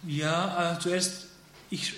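Flat-voiced Arabic-native man, halting. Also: a little high. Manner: halting learner's speech, many restarts. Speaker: interviewee, footballer. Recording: echoey room, faint noise.

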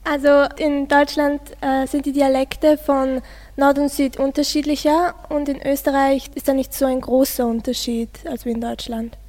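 Teen girl, high flat voice, asks questions with lots of ähms, echoey room. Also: normal speed. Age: teenager. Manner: hesitant, with fillers. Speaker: student interviewer. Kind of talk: school radio interview.

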